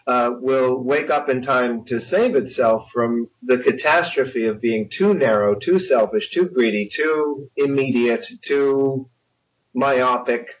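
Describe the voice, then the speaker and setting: An older man talks in a reflective interview style at a slow pace. His phrasing is articulate and measured. The sound is dull and sits away from the mic. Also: medium pitch, recorded from a distance. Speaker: interview guest, audiobook narrator. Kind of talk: conversational interview.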